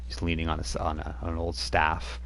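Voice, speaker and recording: A man's voice: very deep voice, monotone, a little fast, creaky, fairly bright tone, slight background noise. A man narrating a tabletop game as game master, rambling with many fillers and restarts.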